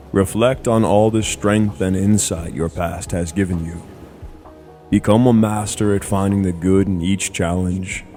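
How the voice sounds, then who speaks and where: A man narrating a scripted guided meditation in a deliberate, soothing way, in short measured phrases. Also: low-pitched, warm tone. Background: background music.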